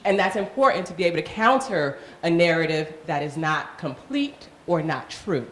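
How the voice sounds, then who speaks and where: A woman's voice: lowish voice, moderate pace, a little hiss or hum. A woman from Miami who grew up speaking Haitian Creole, sharing anecdotes at a panel discussion. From Miami, Haitian household. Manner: storytelling, animated anecdotes. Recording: roomy hall with faint ambience.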